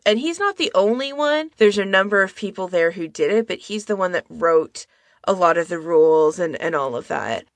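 A woman's voice: on the low side, lively intonation, normal speed, no echo. A woman co-hosting a podcast, explaining things in an informal, conversational way. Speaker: podcast co-host. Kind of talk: casual explanatory podcast chat.

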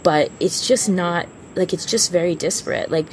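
A woman's voice: on the low side, moderate pace, a little mellow, slight background noise. A woman co-hosting a casual fan podcast, talking in an informal, rambling way and often interrupting herself. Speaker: podcast co-host.

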